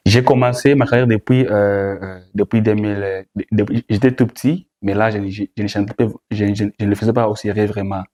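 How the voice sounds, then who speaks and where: Mellow, lowish young Congolese man. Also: steady tone, a little fast, few pauses. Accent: Congolese French. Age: young adult. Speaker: guest, gospel musician. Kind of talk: interview answers.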